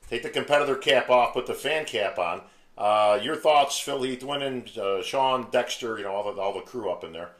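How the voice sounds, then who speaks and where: A man's voice: medium pitch, moderate pace, fairly bright tone. An American man hosting an interview, friendly and chummy, often laughing with his guest. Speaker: podcast host. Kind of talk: casual interview chat. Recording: echoey room sound.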